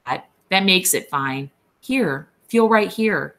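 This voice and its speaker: A woman's voice: on the low side, animated, slow pace, creaky, fairly bright tone, pitched above the performer's own voice, exaggerated voice. A woman doing a vain, teasing young ranch wife.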